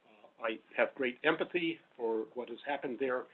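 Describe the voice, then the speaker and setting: A middle-aged man giving a panel talk as a professor, loud-voiced and hesitant, full of fillers. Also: medium pitch, normal speed. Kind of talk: public talk with slides. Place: meeting room. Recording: phone-like narrow audio.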